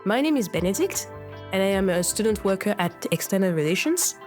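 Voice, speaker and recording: A woman's voice: mid-range voice, big pitch swings, brisk, a little mellow, soft background music. A young woman from Mauritius hosting a podcast, reading a polished intro and then asking guests questions in an upbeat way. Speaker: podcast host.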